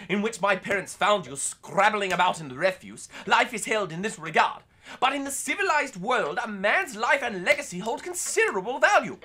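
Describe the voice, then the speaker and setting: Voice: medium pitch, sharp tone. An actor playing a pompous, panicky and callous lord of the manor in a comedy audio drama, with a British accent and florid, theatrical speech. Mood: haughty, musing.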